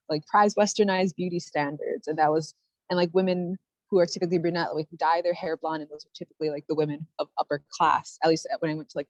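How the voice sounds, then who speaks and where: A woman's voice: lowish voice, no room sound, poor audio. A young woman from the United States, a study abroad alumna, giving a thoughtful panel answer over a video call with frequent "like" fillers and restarts.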